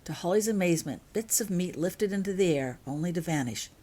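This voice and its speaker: Female storybook narrator, lower-pitched voice, author reading her own fan fiction. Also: lively intonation, a little fast, warm tone.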